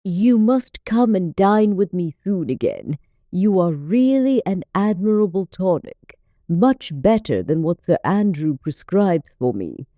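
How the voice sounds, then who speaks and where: A woman's voice: on the low side, sing-song, a little slow, no room sound, lo-fi sound. A woman voicing a gushing, sociable old English society lady in an audiobook. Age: older. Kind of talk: audiobook character voice.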